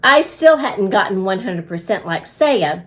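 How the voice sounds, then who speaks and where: A woman voicing a small, eager, self-important male hamster in an expressive, exclamatory storybook telling. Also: medium pitch, unhurried. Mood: earnest, indignant.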